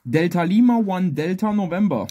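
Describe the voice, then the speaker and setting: A man's voice: high-pitched, animated, fairly quick, background noise. A man working amateur radio contest exchanges outdoors, repeating short clipped phrases and callsigns in phonetics. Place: outdoors at a garden picnic table.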